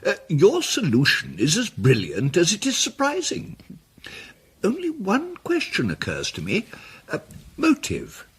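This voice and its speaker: An actor playing a mild, deferential English gentleman sleuth in a radio drama, polite and tentative. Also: high voice, huge pitch swings, measured pace, breathy edge, full character voice. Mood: politely inquiring.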